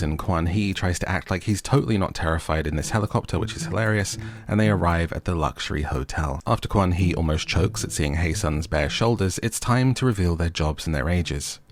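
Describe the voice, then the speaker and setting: Low-voiced man giving a wry recap. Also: brisk, hardly any pauses. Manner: playful, tongue-in-cheek. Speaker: host of a recap and review channel. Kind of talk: scripted recap narration with wry jokey asides.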